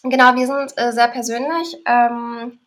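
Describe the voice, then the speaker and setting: A woman's voice: a little high, fairly even intonation, a little slow, a bit bright. A twenty-seven-year-old woman from Berlin, a podcast guest, talking in a cheerful, somewhat hesitant way. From Berlin, Germany. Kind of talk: casual interview talk.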